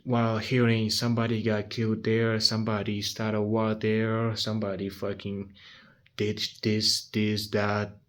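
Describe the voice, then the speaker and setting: A man's voice: on the low side, completely flat delivery, a little slow. Blunt, swearing nineteen-year-old man. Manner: frank, swears a lot, restarts sentences. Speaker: vlogger. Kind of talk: casual personal vlog, talking to camera. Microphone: handheld phone.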